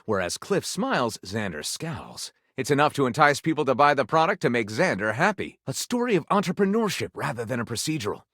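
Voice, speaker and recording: An actor playing a theatrical, wry male storyteller in a game, narrating tales dramatically and savouring each title with pauses. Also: mid-range voice, very animated, pushed and bright, dry recording, clean studio sound. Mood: theatrical, relishing.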